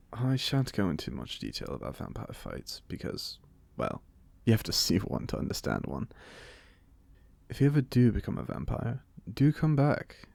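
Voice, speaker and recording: A man acts out an ancient but genial British vampire giving an interview in an audio roleplay. His speech is courteous and slightly formal, with lively swings in pitch and a creaky edge. The sound is close and dry. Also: no room sound. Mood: candid, genial. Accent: British, put on by an American. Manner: polite, formal turns of phrase, wryly conversational. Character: genial, chatty, courteous centuries-old male vampire being interviewed. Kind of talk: ASMR audio roleplay monologue.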